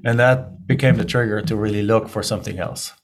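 A man's voice: fairly low pitch, steady tone, brisk. A German-accented man in his forties tells his story as a podcast guest. He talks in a loose, chatty way, circling back with restarts. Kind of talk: casual interview storytelling.

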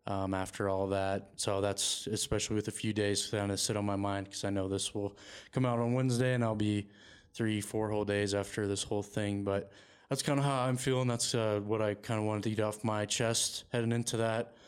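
A man's voice: on the low side, brisk, smooth. A man hosting a casual sports podcast, talking steadily with lots of "um" and "kind of". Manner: even, steady delivery with many fillers. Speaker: podcast host.

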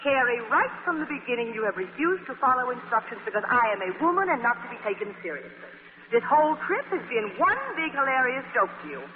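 An actress playing a proud, sharp-tongued woman magazine editor in 1940s radio acting style, heard on a scratchy, tinny broadcast. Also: a little high, sing-song, fast talker, long reverb tail. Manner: crisp, imperious, sharp-tongued.

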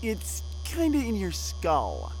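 An actor playing a sardonic, defiant rebel scientist in an audio drama, speaking in terse, coolly menacing lines. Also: male voice, high-pitched, wildly swooping pitch, slow pace, a little mellow, slight background noise, heavily acted. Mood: smug, sardonic.

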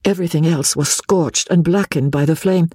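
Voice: mid-range voice, animated, normal speed, mellow, slightly rough, dry recording, clean studio sound, pitched above the performer's own voice. A reader voicing a proud, tired young noblewoman who sounds strained and pleading, raised above the narrating voice. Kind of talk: audiobook dialogue.

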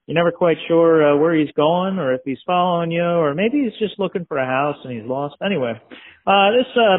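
Rambling man on a tinny phone line. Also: a little high. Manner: rambling, hesitant. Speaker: voicemail caller. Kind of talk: casual rambling comic voicemail. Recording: narrow phone voicemail audio.

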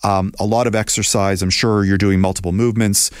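Man in his fifties, low-pitched, conversational host who explains things with restarts. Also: flat delivery, a little fast, clear, resonant tone, dry recording, studio quality. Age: 50s. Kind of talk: casual health chat.

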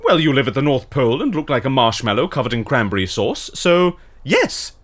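An actor playing an eager, polite and naively festive interviewer in an audio drama, chatty and theatrical. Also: male voice, medium pitch, big pitch swings, fairly quick. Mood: incredulous. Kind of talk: scripted audio drama acting.

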